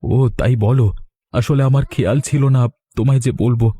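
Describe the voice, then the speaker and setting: An actor voicing a good-natured village man in a cartoon, in an expressive, husky voice. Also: medium pitch, moderate pace, natural voice. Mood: mild, earnest. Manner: animated, expressive. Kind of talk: Bengali cartoon voice acting.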